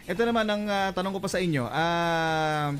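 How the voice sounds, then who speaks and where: A Filipino man hosting a radio interview with lively, jokey banter and frequent hesitations. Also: fairly high pitch, normal speed, crowd noise. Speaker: radio host. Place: radio studio.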